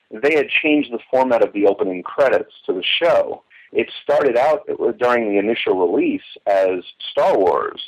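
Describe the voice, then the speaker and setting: A man speaking as an interview guest, enthusiastic and emphatic, recollecting conversationally and wandering off into asides. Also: on the low side. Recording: muffled, phone-like lo-fi line.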